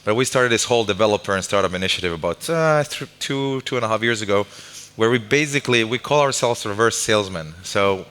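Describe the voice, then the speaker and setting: A man speaks quickly as a guest on a live stage, his low, bright voice ringing in a long hall echo. He talks loosely, restarting often and joking at his own expense. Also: animated, clear, resonant tone. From Croatia. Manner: fast, loose, self-mocking, many restarts. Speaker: guest, tech company developer lead.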